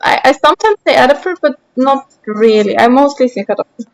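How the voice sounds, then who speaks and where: A woman's voice: high-pitched, expressive, brisk, rough recording. A woman from Austria with an Austrian German accent and German as her first language, chatting casually with her stream chat while she plays, self-deprecating.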